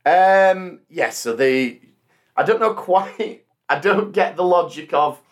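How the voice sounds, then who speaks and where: A man's voice: sits high, slow pace. Man, casual podcast host. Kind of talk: casual TV and film discussion.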